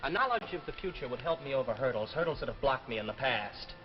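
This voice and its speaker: An actor playing an insistent, smooth-talking young man after money in a 1950s television drama. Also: mid-range voice, animated, fast talker, close to an everyday voice. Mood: pleading, insistent. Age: young adult. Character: pushy, scheming young man hungry for money. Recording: muffled vintage TV broadcast, faint music.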